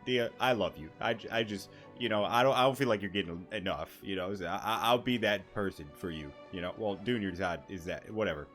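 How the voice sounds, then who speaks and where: Man, fairly low voice, casual gaming commentary, rambling, joking, halting, faint game music behind. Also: a bit bright. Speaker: gaming YouTuber. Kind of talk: casual let's-play commentary.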